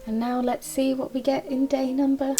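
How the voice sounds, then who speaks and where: Higher-voiced woman in casual craft chat. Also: level tone, moderate pace, mellow. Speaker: craft channel co-host. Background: background music.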